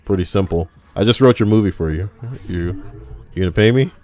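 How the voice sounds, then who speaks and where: A man's voice: low-pitched, fairly quick, warm tone. A man streaming an online game, joking crudely and rambling in casual banter with other players.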